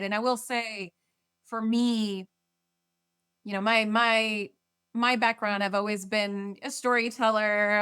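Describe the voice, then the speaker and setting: A woman's voice: mid-range voice, fairly bright tone, clear, resonant tone. A middle-aged woman from Argentina, a marketing executive being interviewed, speaking casually with frequent fillers, repeats and restarts. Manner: hesitant, many restarts and fillers.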